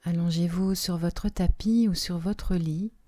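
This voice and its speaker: A woman leading a guided relaxation meditation in a soft, soothing, unhurried manner with long pauses. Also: on the low side, fairly even intonation. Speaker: yoga nidra relaxation guide. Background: soft music.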